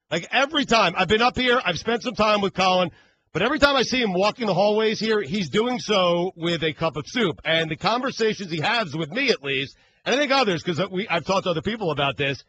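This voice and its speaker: Radio guy rambling and joking around, kinda high voice, bit of hiss behind. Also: pushed and bright, poor audio. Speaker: radio co-host. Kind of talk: casual sports radio chat.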